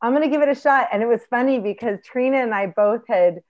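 A woman's voice: on the high side, fast, a little mellow, dull audio. A woman in her forties, a panel guest telling a story in a chatty way, laughing along. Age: 40s. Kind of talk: casual panel chat.

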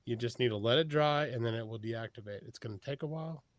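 A man's voice: medium pitch, quick pace, dry recording. Texas guy guesting on a podcast, keeps restarting himself, dry close sound. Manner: rambling, frequent restarts, chuckles. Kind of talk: casual chat.

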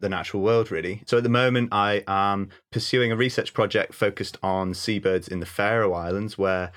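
A young man with a lowish voice talks in a relaxed, chatty way, full of "like" and "sort of", and laughs easily. He sounds like a podcast guest in casual conversation. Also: normal speed. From North Wales. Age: young adult. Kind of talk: casual chat.

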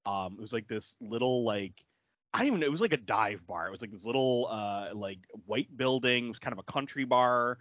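A man in his thirties co-hosting a podcast, telling an animated anecdote with quick asides. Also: medium pitch, no room sound. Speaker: podcast co-host. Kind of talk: casual chat. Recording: dry, dull lo-fi audio.

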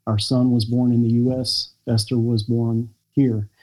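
An American man, a podcast guest, telling a personal family story in a halting, reflective way with many pauses and fillers. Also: mid-range voice, flat delivery, a little mellow, low-quality recording. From United States. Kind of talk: podcast interview conversation.

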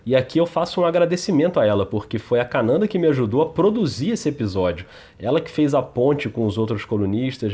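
A male podcast host speaks conversationally. His voice sits at an average pitch, and he addresses the listener directly as he presents. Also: a little mellow, slightly rough.

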